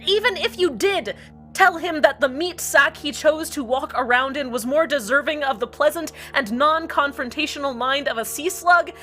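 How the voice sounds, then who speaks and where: A woman voicing a heartbroken community radio host who speaks in an earnest, halting way with many pauses. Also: mid-range voice, a bit bright. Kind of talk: fan radio drama monologue.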